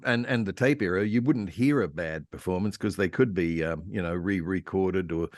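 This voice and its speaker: A man speaking as a guest historian in a casual, informed discussion, with halting, rambling phrasing and repeated words. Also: low voice, no echo, studio quality. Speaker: panel guest, radio historian.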